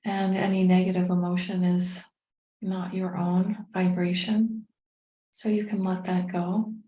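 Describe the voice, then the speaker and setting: A woman leading a guided meditation as a teacher, speaking in a gentle, unhurried, soothing way with pauses. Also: on the low side, little pitch movement. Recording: roomy, echoing sound.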